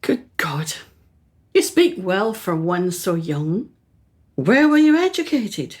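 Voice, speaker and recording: A woman's voice: mid-range voice, very animated, takes it slowly, darkish tone. An actress playing a haughty, self-absorbed upper-class mother in a radio play, with a brusque, imperious manner and clipped phrases. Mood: defensive, haughty.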